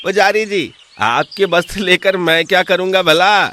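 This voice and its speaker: A man playing a sly, boastful village swindler in an animated folk tale, with a theatrical, smooth-talking delivery. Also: high-pitched, normal speed, slight background noise. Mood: falsely humble.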